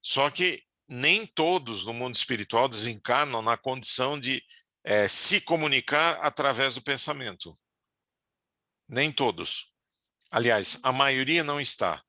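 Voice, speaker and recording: Bright-voiced man giving a casual spiritual talk. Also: mid-range voice, animated, a little slow, no echo. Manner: conversational, frequent "né?" tag questions. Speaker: spiritual teacher.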